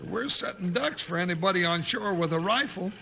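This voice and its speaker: A man playing a folksy, excitable, talkative cowboy sidekick with an American Western drawl in a comic 1950s radio western. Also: sits high, brisk, exaggerated voice. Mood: comic grumbling. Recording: noisy vintage 1950s radio recording.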